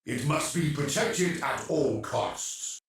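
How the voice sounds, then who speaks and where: A man's voice: fairly high pitch, takes it slowly. A man voicing an imperious, ancient machine pharaoh in a video game, stately, formal and cold. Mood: imperious, grave. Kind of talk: dramatic video game cutscene acting. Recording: heavy cavernous echo, distant, under music.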